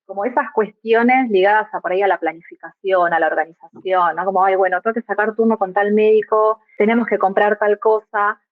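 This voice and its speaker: A woman's voice: mid-range voice, fairly even intonation, fairly quick, hardly any pauses, dry recording, phone-quality sound. A young adult woman from Argentina with an Argentine Spanish accent, a guest who speaks reflectively and keeps adding little tag questions. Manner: thoughtful, often adds tag questions. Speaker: guest, migrant mother. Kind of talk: reflective podcast conversation.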